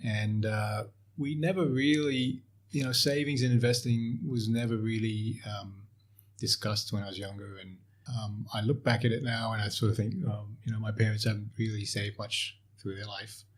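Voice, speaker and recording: A man raised in Asia answers podcast questions in a relaxed, faltering way. His voice is low and a little husky. He often pauses and restarts his sentences. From Asia (Hong Kong, Malaysia, Singapore). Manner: hesitant, many fillers and restarts. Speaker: podcast guest, portfolio manager. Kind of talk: casual interview answers.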